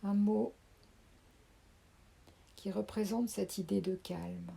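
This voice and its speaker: A woman leading a guided sophrology relaxation, speaking softly and calmly and sometimes dropping to a whisper. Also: medium pitch, vocal fry, husky. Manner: slow, soft, calming, with whispered phrases. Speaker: sophrology teacher.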